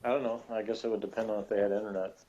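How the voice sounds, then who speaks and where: A man's voice: on the low side, level tone, racing, warm tone. A man chatting casually as a guest reviewer, hesitant, rambling and flippant, on dull lo-fi audio. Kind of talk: casual chat.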